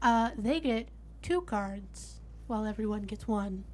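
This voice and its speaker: A woman's voice: mid-range voice, expressive, measured pace, a little hiss or hum. A woman chatting casually with fellow players during a tabletop roleplaying game. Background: music.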